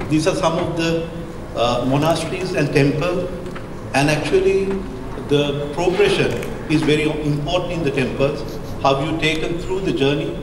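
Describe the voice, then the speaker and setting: A middle-aged man from Sri Lanka with a Sri Lankan accent, giving an architecture lecture into a handheld mic, halting and full of fillers. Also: a little high. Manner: hesitant, searching for words, frequent fillers. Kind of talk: presentation with slides. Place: lecture hall, indoors. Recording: echoey hall with background hum.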